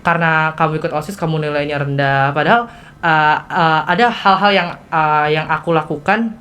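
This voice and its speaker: A teenage girl with a Jakarta Indonesian accent hosting a student podcast in a casual, halting, conversational way. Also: low-pitched, fairly even intonation, fairly bright tone. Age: teens. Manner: halting, hesitant delivery with repeated self-interruptions.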